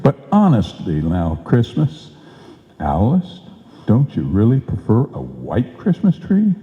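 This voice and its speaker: An actor playing a blustering, sarcastic husband with emphatic, wisecracking delivery in an old-time radio comedy. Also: male voice, fairly low pitch, wildly swooping pitch, slow, exaggerated voice. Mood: wry. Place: live stage performance in a hall. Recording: muffled live recording in echoey hall.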